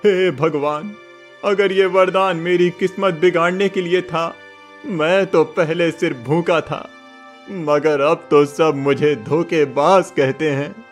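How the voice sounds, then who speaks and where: A man's voice: on the high side, steady tone, slow pace, soft background music. A man voicing a poor, honest village man in a cartoon moral story, burdened and despairing, speaking plaintively.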